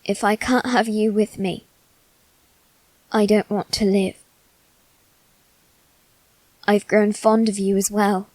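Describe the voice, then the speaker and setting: A woman playing a wounded, possessive teenage boy aristocrat in an ASMR roleplay, speaking haltingly with long pauses. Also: medium pitch, little pitch movement, a little mellow, dry recording, natural voice, low-quality recording. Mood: solemn, devoted. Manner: slow, halting, measured delivery with long pauses. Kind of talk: ASMR character roleplay, scripted drama.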